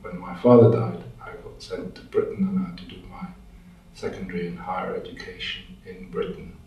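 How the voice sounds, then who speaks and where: A man from France answers interview questions in a halting, thoughtful way, pausing as he searches for words. His voice is lowish and mellow. He sounds distant from the mic, in a very echoey room. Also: gravelly. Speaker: interviewee, language teacher.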